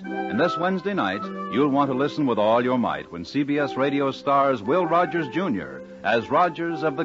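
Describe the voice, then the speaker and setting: A man with an American accent announcing in a scripted 1950s network radio style. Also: medium pitch. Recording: muffled old radio broadcast. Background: music.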